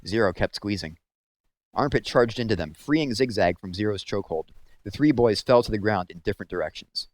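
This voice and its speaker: A man's voice: on the low side, lively intonation, fairly quick, no room sound. A man reading a children's novel aloud as storybook narration.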